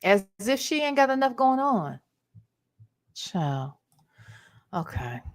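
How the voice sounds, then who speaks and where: A woman's voice: a little high, sing-song, slow pace. A woman hosting a livestream, giving casual, slangy, blunt commentary and reading out chat messages. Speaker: livestream host.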